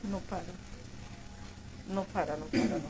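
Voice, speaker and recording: A woman's voice: fairly low pitch, moderate pace. A woman with a Colombian accent chatting casually as a co-host who relays viewer comments. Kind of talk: casual live chat. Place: home kitchen, indoors. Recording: noisy kitchen with sizzling pan.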